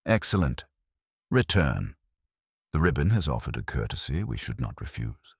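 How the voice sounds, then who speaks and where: A man's voice: very deep voice, sing-song, moderate pace, creaky, slightly rough, no room sound, studio quality, full character voice. A man playing an imperious, pedantic genius detective in an audiobook, speaking in pompous, clipped, formal phrases. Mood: imperious, precise.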